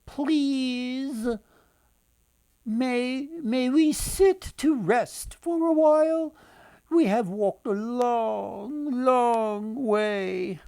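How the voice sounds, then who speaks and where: A man plays a gracious, weary village woman from a folktale. The voice is lifted high and very slow, with drawn-out, emphatic words suited to storybook magic. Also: gravelly, a little mellow, no room sound, heavily acted. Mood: weary, pleading. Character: weary, gracious visiting village women casting destinies.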